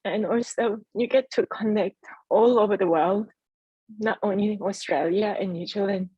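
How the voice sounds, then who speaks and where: A woman whose first language is Korean, speaking heartfelt and halting on a video call about her business. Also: medium pitch, husky, no room sound, muffled recording. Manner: heartfelt, halting, earnest encouragement. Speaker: entrepreneur sharing her story. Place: home in Adelaide.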